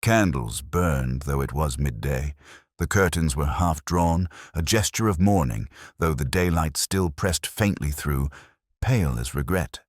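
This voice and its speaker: A man playing a weary, melancholy police inspector, narrating his case in a measured, literary, brooding way as a first-person detective story. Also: rumbling low, expressive, no echo, clean studio sound, heavily acted. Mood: sombre, reflective.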